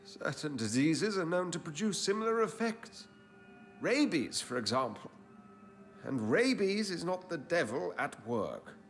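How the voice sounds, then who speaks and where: Music playing, nervy posh doctor in a video game, very up and down voice, formal, defensive, pleading. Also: male voice, fairly high pitch, sing-song, a little slow. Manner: genteel, formal, pleading and evasive. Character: anxious, defensive English doctor with secretive scientific zeal. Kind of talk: dramatic video game cutscene acting.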